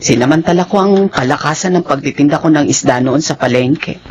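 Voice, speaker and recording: Man playing devout older stepmother, soft and gentle, high voice, dull lo-fi sound. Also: brisk, slight background noise, close to an everyday voice. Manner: soft-spoken, pious. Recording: dull lo-fi radio recording. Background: faint music.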